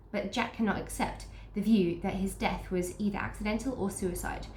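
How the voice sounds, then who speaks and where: Female book vlogger, nasal from a cold, chatty and upbeat, mid pitch, roomy echo. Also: a little mellow. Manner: chatty, upbeat, restarts sentences. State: stuffy nose from a cold. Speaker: book vlogger unboxing a subscription box. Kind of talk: casual unboxing chat with read-aloud blurbs. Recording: echoey room with faint noise.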